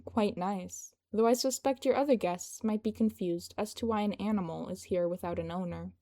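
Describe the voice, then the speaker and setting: Mischievous talking animal, male, polite and sly, performed by a woman, mellow, for audio fiction. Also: medium pitch, moderate pace, dry recording, clean studio sound, close to an everyday voice. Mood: sly, pleased.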